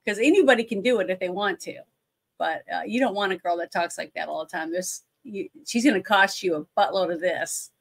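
Blunt woman with a deep voice. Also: a little fast. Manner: blunt, opinionated, laughs often. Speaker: co-host. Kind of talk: casual movie-review chat. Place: indoors at home. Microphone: webcam on a video call.

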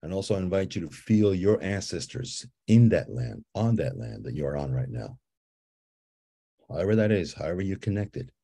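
A man from Guatemala who leads ceremonies, guiding listeners through a slow, calm visualization and telling a story with soft repeated invitations. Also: low voice, gravelly, slightly rough. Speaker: ceremony leader guiding a visioning exercise.

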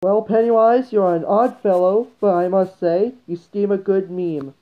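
A man doing a comic parody redub of a film, blunt and irreverent, with deadpan wisecracks. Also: high voice, measured pace. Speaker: parody voice-over performer. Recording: dull, muffled home recording.

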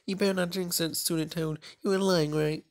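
A man's voice: sits high, steady tone, a little fast, pitched above the performer's own voice, close to an everyday voice. A man voicing a proud, bossy, ambitious teenage schoolgirl from an anime visual novel, with an affected girlish delivery. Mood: suspicious.

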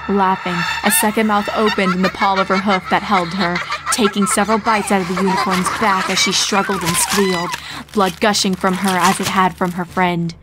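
A woman's voice: medium pitch, level tone, normal speed, very noisy. A woman reading a horror story aloud as a scripted, dramatic narration. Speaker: fan fiction reader and narrator. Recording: music bed under the voice. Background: background music.

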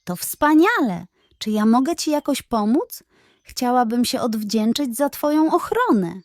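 A woman puts on a young girl piglet from a children's storybook, high-pitched and trusting. She sounds eager and full of naive admiration, in a dry recording. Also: big pitch swings, a little slow, no echo. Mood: delighted, grateful.

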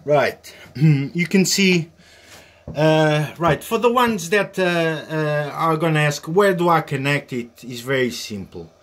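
A man giving an informal hands-on demonstration as a tutorial host, speaking in a halting, hesitant way. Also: a little high, fairly bright tone, slight background noise. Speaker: host of a hobby radio tutorial. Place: small indoor workbench area.

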